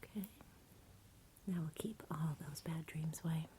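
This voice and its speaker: A woman speaking in a soft, soothing whisper in an ASMR bedtime roleplay, gentle and unhurried as if talking to a child. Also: low voice, fairly even intonation, noisy background. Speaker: ASMR performer playing a caregiver at bedtime.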